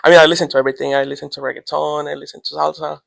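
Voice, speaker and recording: A man whose first language is Spanish, a teacher answering interview questions in a relaxed, rambling way that trails off. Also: fairly high pitch, racing, creaky, dry recording.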